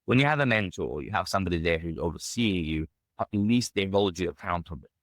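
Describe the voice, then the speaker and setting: A man's voice: low-pitched, expressive, a little fast, fairly bright tone, no room sound. A British man in his thirties telling his story in a casual, emphatic, conversational way as a podcast guest. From United Kingdom.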